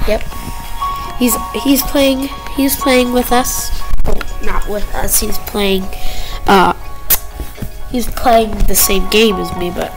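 Young gaming commentator, slow and playful, rambling, medium pitch, light music under the voice. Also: steady tone, poor audio. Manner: rambling, playful, stumbles over words. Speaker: young video creator showing game cutscenes. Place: indoor room at home. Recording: home screen recording with music behind.

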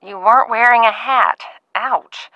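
A woman playing a fussy, genteel ranch aunt in a video game, sweetly reproachful with drawn-out endearments. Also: on the high side, sharp tone, no echo, dull audio. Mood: disappointed, scolding. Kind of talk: game dialogue acting.

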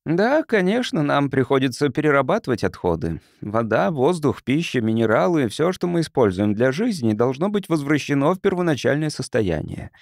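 A man's voice: mid-range voice, sing-song, moderate pace, vocal fry, few pauses, darkish tone, dry recording, studio quality. A man voicing a proud, guarded planetoid councillor in an audiobook, formal and explanatory. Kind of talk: audiobook character reading.

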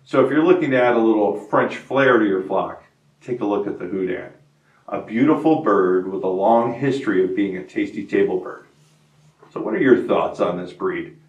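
A man in his fifties hosting a hobby farm channel, reading a scripted breed spotlight in a steady, informative way. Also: lowish voice, normal speed, reverberant, far from the mic, dull audio. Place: indoor studio set before a wooden barn-wall backdrop. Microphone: lapel mic, medium camera framing.

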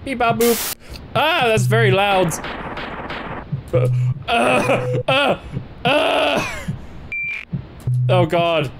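A man's voice: extremely high pitch, expressive, very slow, creaky, pauses often, over music. A young man in his twenties streaming a horror game on a headset mic, reading the screen aloud, joking and yelping at the scares. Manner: jokey, reads on-screen text aloud, laughs and yelps at scares. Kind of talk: horror game let's play commentary. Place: small dark room indoors.

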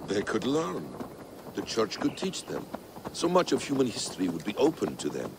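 A low, lilting male voice, playing an aged scholar-warrior in a video game. He speaks eloquently and gravely, with theatrical swells and dips, over clearly audible outdoor noise. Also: huge pitch swings, normal speed, exaggerated voice. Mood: nostalgic, learned. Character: worldly, thoughtful Middle Eastern scholar-warrior, grave and poetic. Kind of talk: dramatic video game acting.

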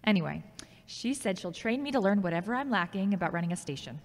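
A woman playing a confident, ambitious radio journalist with a teasing, warm manner in an audio drama. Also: mid-range voice, lively intonation, quick pace. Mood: proud, probing. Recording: echoey hall-like reverb.

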